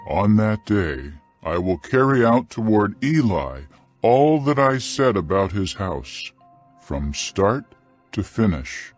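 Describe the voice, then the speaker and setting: Slow, solemn male scripture narrator. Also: lowish voice, very animated, faint music behind. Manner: solemn, measured, clearly phrased.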